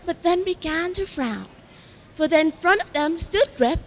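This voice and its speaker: A young woman narrating a children's story on stage in a dramatic, drawn-out, expressive way. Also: very high-pitched, heavy background noise.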